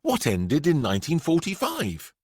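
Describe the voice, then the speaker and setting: Man putting on a high-pitched flustered schoolteacher. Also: wildly swooping pitch, moderate pace, a little mellow, breathy edge, dry recording, clean studio sound, full character voice. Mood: quizzing. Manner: spluttering. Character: flustered, spluttering male schoolteacher.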